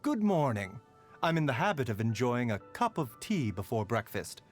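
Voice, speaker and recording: An actor playing a calm, courteous male doctor in a game, with a soft, measured way of talking. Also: mid-range voice, soft background music, lightly acted. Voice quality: soft, measured, sighs and chuckles. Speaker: game character voice lines. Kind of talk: game voice lines.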